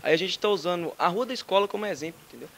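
Gravelly, teen boy, hesitant, faint noise. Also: high voice, lively intonation, rapid-fire, a bit bright. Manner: hesitant, casual filler words. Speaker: student presenter. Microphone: handheld mic.

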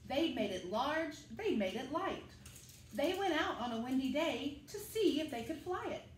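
A middle-aged woman, a school teacher, reading a picture book aloud to children and speaking to them directly in an animated way. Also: high-pitched, moderate pace. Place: living room at home, indoors. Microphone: camera a few metres away. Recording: echoey room with faint noise.

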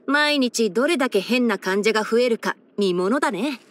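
Woman voicing a high, boyish young forest ranger. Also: expressive, moderate pace, close to an everyday voice. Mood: wry, composed. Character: young male fox-eared forest ranger chief, clever, gentle. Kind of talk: Japanese game character voice-over.

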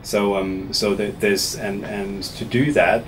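A man from Switzerland in his thirties, a professor answering interview questions in a small office in a measured way, often restarting his words. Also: fairly low pitch, fairly even intonation. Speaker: actuarial professor being interviewed. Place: small office meeting room, seated at a table. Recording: room recording with audible air conditioning hum.

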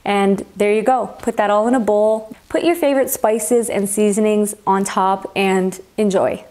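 A woman's voice: medium pitch, normal speed, a little mellow. Chatty young woman vlogging in her kitchen. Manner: chatty and upbeat, gestures a lot, shows groceries. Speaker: healthy eating vlogger. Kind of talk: casual talk to camera. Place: home kitchen, indoors. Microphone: clip-on lapel mic.

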